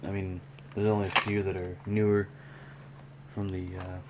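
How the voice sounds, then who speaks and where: A man talking casually and unscripted in a hobby show-and-tell vlog, with a rambling, halting delivery. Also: low-pitched, little pitch movement. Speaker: hobby vlogger, cassette collector. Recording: clear background noise.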